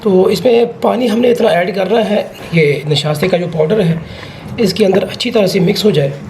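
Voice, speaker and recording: Man, hoarse, sore throat, gravelly, step-by-step cooking instructions, noisy background. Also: sits high, moderate pace, a little mellow. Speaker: guest cook. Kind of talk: cooking demonstration.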